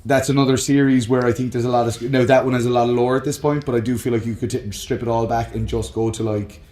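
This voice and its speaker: A man's voice: mid-range voice, steady tone, quick pace, a little mellow. A man co-hosting a casual, opinionated chat about games and films in an informal way. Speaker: podcast co-host. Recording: roomy echo, music underneath.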